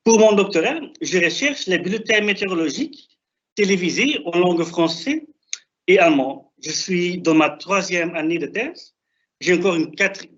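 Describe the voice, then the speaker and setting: A young man who is not a native French speaker, with a non-native accent, giving a halting, didactic academic presentation over a video call. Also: high voice, a bit bright. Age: young adult. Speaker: doctoral researcher presenting. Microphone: video call, presenting remotely. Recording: dull, band-limited online call audio.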